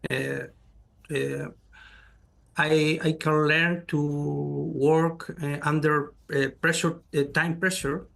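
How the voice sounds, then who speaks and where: Slow gravelly chilean guy, spanish accent, lots of uh and um, restarts a lot. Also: a little high, little pitch movement, fairly bright tone. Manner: halting, many fillers and restarts. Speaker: guest researcher. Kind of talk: interview answers.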